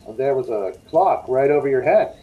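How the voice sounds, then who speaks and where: Woman playing low, menacing male stranger. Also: animated, normal speed. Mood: brisk, courteous. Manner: insistent, controlling. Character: smooth-talking, menacing male stranger in a car. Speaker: actor in a radio play. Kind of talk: 1940s-style radio drama acting. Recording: dull video-call audio.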